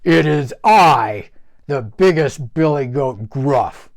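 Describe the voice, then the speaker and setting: A man voicing a huge, fearless male billy goat who speaks slowly and boldly. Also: mid-range voice, animated. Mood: proclaiming.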